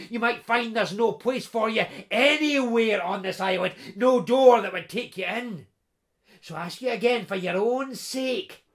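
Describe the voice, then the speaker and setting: A woman's voice: mid-range voice, fairly bright tone. A woman playing a brutish, boorish rich farmer who blusters and threatens. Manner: blustering, menacing, coarse.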